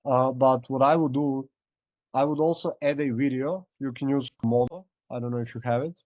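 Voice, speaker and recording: A man speaks as an in a casual coaching call, hoarse and running low on voice. His explanations wander with "like" and "uh". The video-call sound is dry and dull. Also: steady tone, brisk, no room sound. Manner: loose, filler-heavy explaining. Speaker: coach giving feedback.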